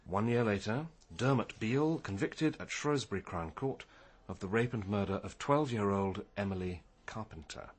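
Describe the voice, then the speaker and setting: An actor playing a thoughtful senior police detective in a radio crime drama, measured and deliberate. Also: male voice, lowish voice, lively intonation, slightly rough. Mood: intent, explanatory. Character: methodical, thoughtful senior police detective, male, quietly probing.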